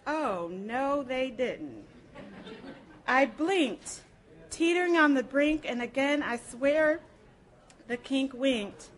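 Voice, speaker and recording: A woman giving an expressive live reading with a theatrical, rhythmic delivery. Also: sits high, slow pace, pauses often. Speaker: reader at a public library program.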